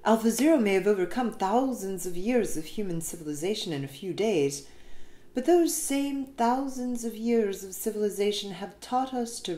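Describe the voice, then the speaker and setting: A woman reads a written essay aloud in an expressive, emphatic way with deliberate phrasing. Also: mid-range voice. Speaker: reader of an essay. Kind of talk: scripted narration, essay read aloud. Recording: roomy echo, faint background noise.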